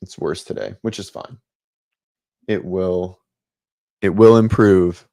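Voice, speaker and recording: Male host, deep and raspy, loose rambling delivery full of fillers, dry home-office mic. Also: steady tone, takes it slowly, mellow, dry recording. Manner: rambling, many ums and restarts. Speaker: host of a fitness livestream show. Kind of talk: casual livestream chat. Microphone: set-up studio mic.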